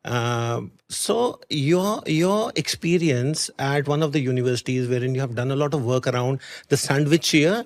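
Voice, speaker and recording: A man's voice: mid-range voice, darkish tone. Indian man hosting a podcast interview. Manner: conversational, frequent fillers and restarts. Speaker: podcast host.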